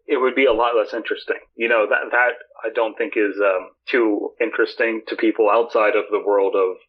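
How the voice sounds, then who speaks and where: A man with a husky voice in casual podcast banter, recorded with dull lo-fi sound. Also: lowish voice, fairly even intonation, a little fast. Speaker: podcast host.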